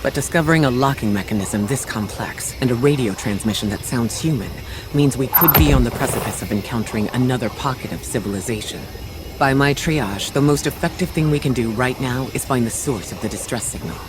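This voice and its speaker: An actor playing a calm, analytical mission guide and scientist in a video game, speaking in a measured, articulate, earnest way. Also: male voice, mid-range voice, noisy background, natural voice. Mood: thoughtful concern. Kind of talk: scripted game dialogue. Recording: music behind the voice.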